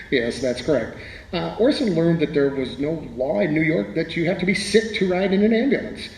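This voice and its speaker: An erudite, wry playwright, acted by a man, with a slightly high voice. He tells his story in literary phrases with hesitant starts, in a reverberant hall with faint noise. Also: animated, normal speed, darkish tone. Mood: wry, modest. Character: erudite, wry male radio playwright being interviewed. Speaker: interview guest. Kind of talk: scripted interview reminiscence in radio drama.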